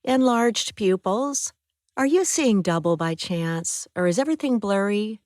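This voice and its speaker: A woman reading a firm, brisk female nurse in a hospital. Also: mid-range voice, animated, a little mellow, no echo, studio quality, voice pushed up, lightly acted. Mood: clinical.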